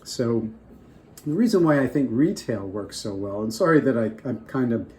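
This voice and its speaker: Older man with a mellow voice, rambling. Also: mid-range voice, lively intonation, normal speed, a little hiss or hum. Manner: rambling, restarts often, off the cuff. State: getting over a cold. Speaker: vlogger. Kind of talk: casual advice talk to camera.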